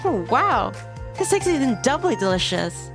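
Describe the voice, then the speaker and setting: A woman's voice: on the high side, very animated, normal speed, music in the background. A woman voicing an eager, grateful girl houseguest in a visual novel, gushing with enthusiasm. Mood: impressed. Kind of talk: visual novel character read-aloud.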